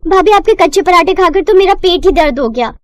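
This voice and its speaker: A woman voicing an outspoken young college girl who complains to her family in an animated moral story. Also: very high-pitched, a little fast, no room sound, studio quality, voice pushed up. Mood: complaining. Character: young female college student, outspoken, complaining sister-in-law.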